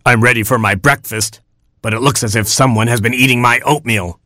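A man's voice: mid-range voice, fairly quick, pushed and bright, dry recording, clean studio sound, voice pushed up, close to an everyday voice. A man voicing a proud, grouchy father rooster in a storybook, with pompous delivery. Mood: grumbling. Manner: pompous, declamatory. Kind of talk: storybook character voice.

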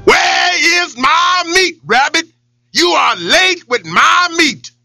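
A storyteller voicing a big, bossy male lion who shouts and growls his threats. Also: high-pitched, animated, slow, soft background music, pitched above the performer's own voice, full character voice. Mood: angry.

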